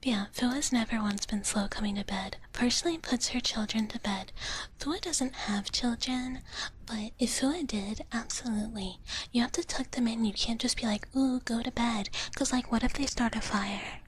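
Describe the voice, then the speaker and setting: Woman as a soft whispering dragon big sister, noisy mic. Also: on the high side, moderate pace, natural voice. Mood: gentle, chatty. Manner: hushed, refers to herself in third person, hesitant rambling. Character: cutesy female dragon big sister, gentle, naive, protective. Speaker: live ASMR streamer. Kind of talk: whispered ASMR, rambling chat with viewers. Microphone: close ASMR microphone.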